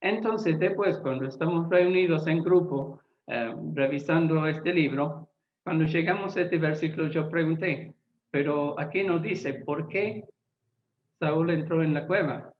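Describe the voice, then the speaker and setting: A man who is not a native Spanish speaker, a Bible translator giving a lecture-style talk, deliberate and often pausing to search for words. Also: fairly high pitch, a little hiss or hum. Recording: echoey, tinny phone-like sound.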